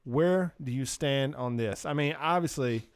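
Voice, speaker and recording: A man's voice: mid-range voice, measured pace, clean tone, no room sound. A man hosting a casual talk show, speaking informally and opinionatedly, with swearing and plenty of slangy asides. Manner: informal, swearing, opinionated, uses "dude" often. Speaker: podcast host.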